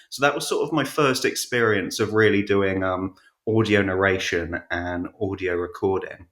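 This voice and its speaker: A man with a fairly low voice talks in a roomy, echoey space. He tells stories casually as an interview guest, rambling and halting as he goes. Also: a bit bright. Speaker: podcast guest, audiobook narrator. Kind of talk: casual conversational storytelling.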